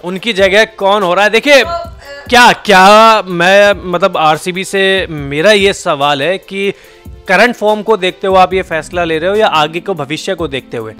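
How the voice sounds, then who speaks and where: A man's voice: high-pitched, measured pace, sharp tone, soft background music. A man in his thirties, a cricket analyst on a studio panel, thinking aloud and explaining at length into a handheld mic. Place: indoor TV studio set.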